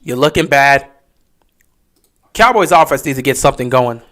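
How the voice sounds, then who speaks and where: A man giving casual live play-by-play commentary on a stream, getting excited and loud on big plays. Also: medium pitch, normal speed. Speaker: livestream game commentator.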